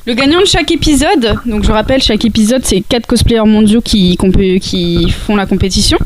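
A woman's voice: medium pitch, a little fast, noisy background. A woman giving a casual podcast segment on cosplay, talking with many hesitations and restarts.

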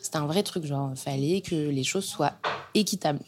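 Low-voiced young woman chatting casually. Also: normal speed. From Normandy, France. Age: young adult. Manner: chatty, informal, many fillers. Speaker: podcast guest, journalist. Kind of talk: casual interview talk. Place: converted house bar, in a bathtub.

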